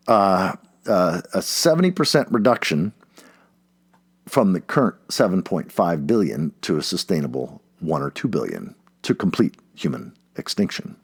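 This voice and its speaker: Male audiobook narrator, lowish pitch, very varied intonation, emphatic essay reading, dramatic delivery. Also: no echo, clean studio sound.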